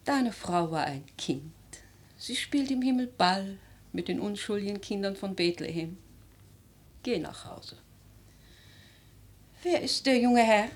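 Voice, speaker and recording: A woman's voice: medium pitch, expressive, slow, long pauses, husky. An actress playing an old aristocratic grandmother in a radio drama, blunt, dry and commanding. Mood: brisk, commanding. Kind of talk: theatrical German radio drama.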